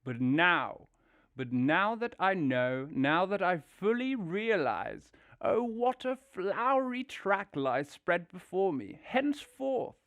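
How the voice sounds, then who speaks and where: A man's voice: high-pitched, big pitch swings, slow pace, a bit bright, no room sound, exaggerated voice. A man playing a vain, dreamy toad with a theatrical, gushing manner in an audio drama. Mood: dreamy rapture. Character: vain, rapturous male toad, dreamy and car-obsessed.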